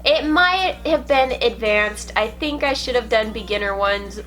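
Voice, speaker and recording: High-voiced congested young woman vlogger. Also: unhurried, a bit bright. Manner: chatty, self-mocking, upbeat. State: congested from a cold. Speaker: YouTube crafts vlogger. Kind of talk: casual vlog narration. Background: faint background music.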